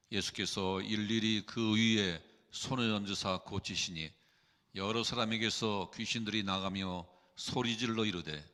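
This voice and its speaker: A man reading scripture aloud in a steady, formal way. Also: lowish voice. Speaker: scripture reader. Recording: long church reverb.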